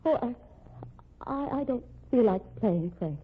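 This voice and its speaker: A woman's voice: on the high side, animated, measured pace, breathy edge. An actress playing an anxious, hesitant wife worried for her brother in an old radio drama. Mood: anxious, guarded. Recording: muffled, narrow-band old radio recording.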